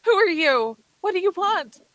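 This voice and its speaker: A woman's voice: very high-pitched, sing-song, moderate pace, fairly bright tone, exaggerated voice. A woman playing a terrified, desperate young woman fighting for her life in an amateur horror script read. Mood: terrified pleading. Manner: shrieking, pleading, breathless desperation.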